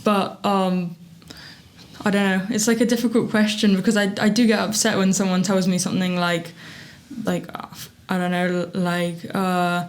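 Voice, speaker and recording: A woman's voice: medium pitch, level tone, normal speed. A teenage girl from England, a student guest speaking hesitantly and thoughtfully. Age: late teens. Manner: hesitant, thoughtful, many fillers. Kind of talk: casual chat.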